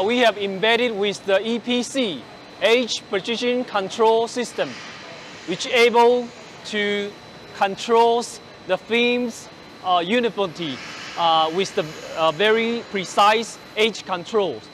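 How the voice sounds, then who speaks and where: A man in his forties presenting machinery on a large factory floor, speaking haltingly with many fillers and restarts, using a lapel mic. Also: sits high, a bit bright, slight background noise. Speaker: company presenter on a factory tour. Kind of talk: product walkthrough.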